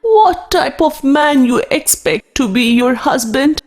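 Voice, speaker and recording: A queen mother in a children's cartoon, voiced by a woman. Her voice sits very high and moves slowly, with deliberate, motherly concern. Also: animated, darkish tone. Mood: accepting. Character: worried queen, mother of a grown princess.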